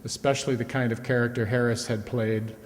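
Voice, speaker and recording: A man's voice: mid-range voice, little pitch movement, a little fast. A man giving a scripted introduction from the stage as host of a radio players' show. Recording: reverberant hall, live stage.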